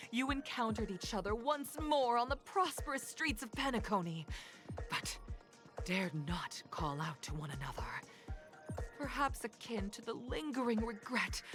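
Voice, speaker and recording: A woman's voice: a little high, very animated, measured pace, music in the background. A woman playing a playful, grandiose storyteller who narrates a game adventure theatrically. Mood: dramatic, wistful. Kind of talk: dramatic game voice acting.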